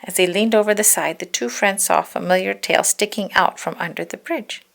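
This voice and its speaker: A woman reading a children's storybook aloud in an expressive narrating style, now and then correcting a slip. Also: medium pitch, brisk, vocal fry. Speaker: storybook reader.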